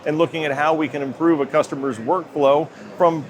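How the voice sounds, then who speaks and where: A middle-aged American man answering interview questions as a company executive in measured corporate talk. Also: on the high side, fairly even intonation. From United States. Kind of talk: trade show interview. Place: trade show floor. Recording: noisy show-floor ambience.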